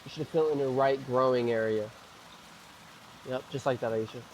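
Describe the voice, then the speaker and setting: A man leading a guided mobility flow, calmly cueing each move and breath, his voice slightly hoarse as he recovers from a cough. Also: medium pitch, moderate pace, slight background noise, rough recording. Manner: calm, gentle cueing of moves and breaths. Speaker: mobility and stretching instructor.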